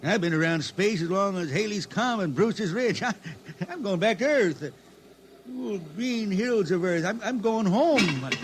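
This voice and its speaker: An actor playing an old blind spaceman balladeer, homesick and wheedling, with a halting folksy drawl in old-time radio drama. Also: high voice, lively intonation. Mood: wistful, stubborn. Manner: halting folksy drawl, repeats himself, pleading. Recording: noisy vintage radio recording.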